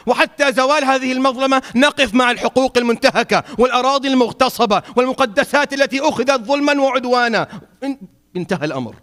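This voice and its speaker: Fast-talking imam preaching. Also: male voice, pushed and bright. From Syria. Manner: impassioned, rising to emphatic peaks then dropping low and wry. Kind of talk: Friday sermon.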